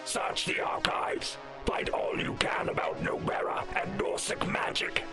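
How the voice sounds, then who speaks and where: A woman playing a merciless, power-hungry dark overlord in an audio drama, breathy and theatrically menacing. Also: unhurried, over music, natural voice. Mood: scheming. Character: merciless power-hungry villainess, a dark lord commanding minions.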